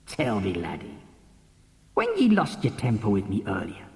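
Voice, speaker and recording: A reader voicing an old, drunken sea captain, speaking slowly and low. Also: wildly swooping pitch, gravelly, warm tone, roomy sound, big-room sound, exaggerated voice. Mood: coaxing. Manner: slow, low, brooding speech.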